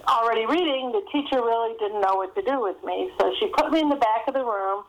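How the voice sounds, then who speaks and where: Female author guest, middle-aged or older, higher-pitched voice, informal anecdotal interview delivery. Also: quick pace, poor audio. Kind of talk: casual interview storytelling.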